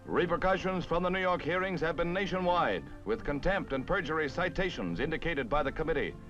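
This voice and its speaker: A man's voice: fairly high pitch, expressive, fairly quick. An American man narrating a 1950s newsreel in a punchy, dramatic announcer style. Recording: dull vintage newsreel soundtrack with music.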